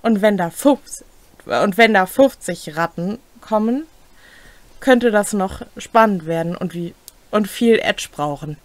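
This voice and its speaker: A woman's voice: mid-range voice, animated, unhurried, no room sound. Young woman explaining, dry close sound. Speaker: co-host of a tabletop game rules series. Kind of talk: scripted explanatory presentation. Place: small cluttered home room, indoors.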